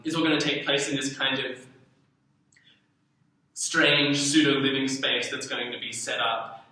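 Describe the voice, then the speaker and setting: A man's voice: mid-range voice, bright, forceful tone. A young Australian man being interviewed as an artist, chatting casually in a hesitant, self-deprecating, meandering way. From Darwin, Australia. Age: young adult. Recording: very echoey room, distant mic.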